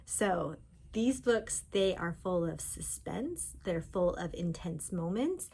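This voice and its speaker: A woman author talking informally about books, in an animated storytelling way. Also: on the low side, takes it slowly, gravelly, husky. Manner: animated storyteller, voicing characters' thoughts in quotes. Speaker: author discussing own books. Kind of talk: chatty informal book talk.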